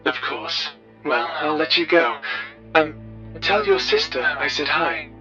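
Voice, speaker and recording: A man's voice: a little high, steady tone, a little slow. A man playing a polite, caring, overworked colleague on a filtered radio call in a sci-fi audio drama. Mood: polite, concerned. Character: caring, overworked colleague calling an ex pirate friend. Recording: dull filtered radio call, music behind.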